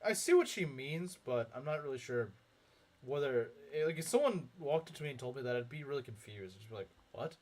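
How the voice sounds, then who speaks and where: Lively, rambling young man streamer. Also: mid-range voice, a little fast. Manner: rambling, heavy filler words, frequent chuckles. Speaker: game streamer. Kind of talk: casual live-stream commentary reading chat.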